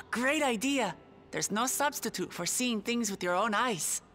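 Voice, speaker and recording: An actress playing a warm, caring, upbeat young leader in a video game, with a friendly, plain-spoken manner. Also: female voice, on the high side, animated, measured pace, faint music behind. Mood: reassuring, upbeat. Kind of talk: scripted video game cutscene acting.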